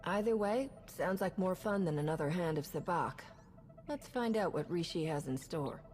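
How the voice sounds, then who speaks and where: A woman's voice: on the low side, expressive, moderate pace, music in the background, natural voice. A woman playing a wry smuggler captain. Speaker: starship captain. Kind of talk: video game cutscene.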